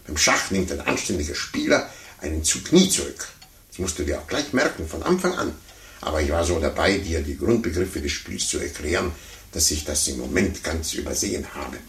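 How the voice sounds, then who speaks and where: An actor playing a pompous, condescending sore loser, stammering and blustering in a 1950s radio play. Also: male voice, very deep voice, big pitch swings, a little fast, vocal fry, a bit bright, full character voice. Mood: smug, lecturing. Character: pompous adult man, a blustering, sore-losing chess player. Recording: roomy, noisy 1950s radio recording.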